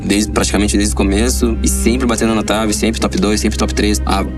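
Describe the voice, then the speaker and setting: A man's voice: on the low side, level tone, moderate pace, music in the background. A man with a Brazilian accent, a competitive gamer talking about himself, recorded from a distance. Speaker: professional esports player.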